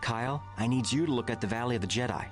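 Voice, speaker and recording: A man voicing a calm, earnest Jedi master giving formal briefings in a video game. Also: mid-range voice, fast talker, music in the background, lightly acted. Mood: calm authority.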